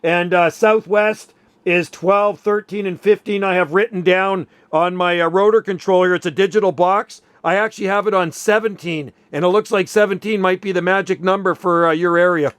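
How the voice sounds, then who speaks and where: High-pitched man chatting on radio. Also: steady tone, moderate pace, fairly bright tone, clear, resonant tone, no echo. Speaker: amateur radio operator. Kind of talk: casual radio chat, storytelling.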